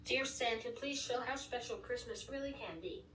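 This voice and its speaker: A woman's voice: fairly high pitch, moderate pace, sharp tone, far from the mic, a little hiss or hum. A synthetic female voice from a speech device, spelling words out letter by letter and then saying each word. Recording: roomy device speaker heard from across the room.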